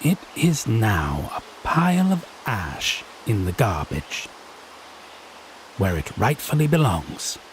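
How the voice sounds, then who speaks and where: An actor playing a haughty, teasing male Fae in an audio roleplay, speaking in an arch, pompous, theatrically drawn-out way. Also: on the low side, big pitch swings, a little hiss or hum. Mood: haughty, triumphant. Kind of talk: scripted audio roleplay drama.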